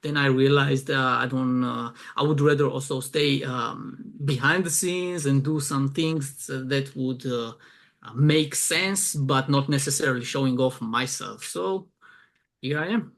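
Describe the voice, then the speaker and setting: A man's voice: mid-range voice, measured pace, a bit bright. A young man from Georgia with a Georgian accent, talking casually in an interview, hesitant with many fillers. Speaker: guest, promotions director.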